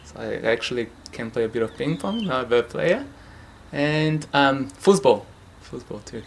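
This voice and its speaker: A young Brazilian man whose first language is Portuguese speaks in English with a Brazilian accent at a mid pitch. He answers casually, pausing on fillers and searching for words, with faint noise in the room behind him. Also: gravelly. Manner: relaxed, hesitant, frequent fillers and light laughs. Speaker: futsal player. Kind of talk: casual interview. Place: home.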